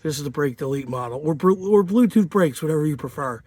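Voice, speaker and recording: A man's voice: a little high, expressive, quick pace, warm tone, no room sound. Rambling man with a sniffly cold. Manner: rambling, unpolished, with many fillers and restarts. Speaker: home mechanic. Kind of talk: casual garage how-to. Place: outdoors, cold.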